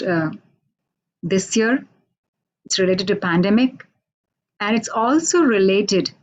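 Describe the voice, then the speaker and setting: A woman from India with an Indian accent, a Hindi native speaker, giving a halting, unhurried poetry reading over a video call from a radio station office. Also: fairly low pitch, dull audio. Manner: unhurried, halting, with many fillers. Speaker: poet.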